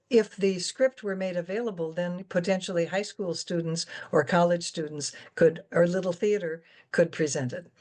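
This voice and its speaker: Low-pitched woman moderating. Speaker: guest moderator. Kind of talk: conversational moderating, relaying audience questions.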